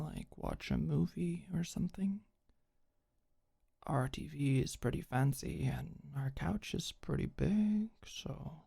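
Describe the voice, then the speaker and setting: A young woman playing a tough but awkward, secretly tender delinquent girl in an intimate ASMR roleplay, speaking softly and hesitantly. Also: a bass voice, gravelly, slightly rough, full character voice. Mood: shy, hopeful.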